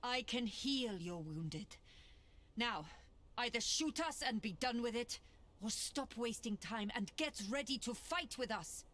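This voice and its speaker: Firm, noble commander. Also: female voice, on the high side, expressive, a little slow, fairly bright tone. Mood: resolute. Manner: firm, earnest, formal. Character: noble, resolute female war hero commander. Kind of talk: video game voice acting.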